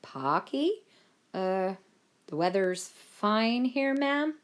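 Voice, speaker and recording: A woman's voice: medium pitch, very animated, extremely slow, voice pushed up. A woman reader voicing a courteous, formal male US President making polite conversation.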